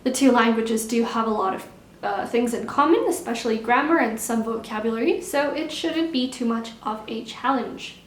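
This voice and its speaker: A young woman vlogger chatting casually while answering viewer questions, relaxed and repeating words for emphasis. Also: a little high, normal speed, slight background noise. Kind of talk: casual Q&A chat. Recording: echoey room.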